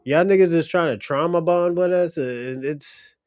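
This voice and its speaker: A man hosting a livestream, reacting in loud, laughing, slang-heavy casual commentary. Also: on the high side, normal speed. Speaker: livestream host.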